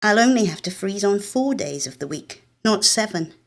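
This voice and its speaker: A woman with a British accent reading a literary short story in a measured, expressive, dramatic way. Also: medium pitch, vocal fry. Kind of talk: literary short story narration, first person.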